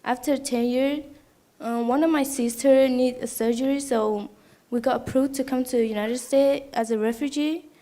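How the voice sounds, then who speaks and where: A woman's voice: high-pitched, fairly even intonation, moderate pace, mellow. A seventeen-year-old girl raised in Thailand, giving a short, plain introduction in simple non-native English as a student speaker. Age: teens.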